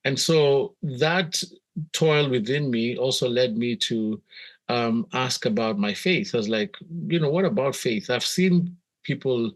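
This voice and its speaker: A man's voice: mid-range voice, expressive, unhurried, low-quality recording. Kenyan man telling his story, reflectively. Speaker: podcast guest, missionary pastor. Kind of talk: conversational personal storytelling.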